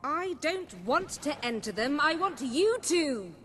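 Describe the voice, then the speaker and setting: High, swooping haughty noblewoman. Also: lively intonation, a little slow, a bit bright, a little hiss or hum. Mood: impatient. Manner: haughty, gushing, dramatic. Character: haughty, melodramatic wealthy noblewoman, pleading and flattering. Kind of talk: theatrical video game acting.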